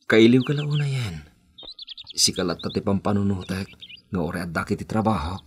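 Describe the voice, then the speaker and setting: A man's voice: deep voice, normal speed, a little hiss or hum, natural voice. A man acting an evasive, two-timing young boyfriend in a radio drama, with a Filipino accent. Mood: placating, evasive.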